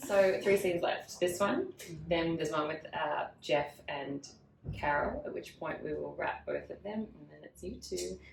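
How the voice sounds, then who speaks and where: A woman's voice: low voice, unhurried, gravelly. A woman casually giving direction to performers during a recording session. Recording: echoey room, background noise.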